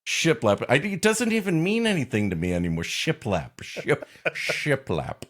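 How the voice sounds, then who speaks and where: A man's voice: fairly high pitch, big pitch swings, a little slow, fairly bright tone. A man co-hosting a comedy podcast, riffing in casual, wry commentary. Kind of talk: casual comic commentary and banter.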